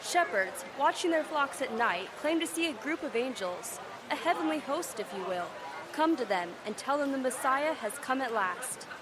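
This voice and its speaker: An actress playing an eager field news reporter who keeps pressing with questions in a live radio drama. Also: female voice, high voice, lively intonation, a crowd in the background, noisy background. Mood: reporting. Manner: brisk, pressing reporter delivery.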